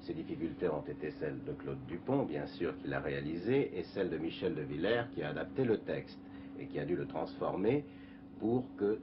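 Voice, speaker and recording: Deep-voiced writer speaking measuredly. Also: male voice, expressive. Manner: measured, hesitant, with many pauses. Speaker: comic-book scriptwriter. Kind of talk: interview. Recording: muffled old broadcast tape, hissy.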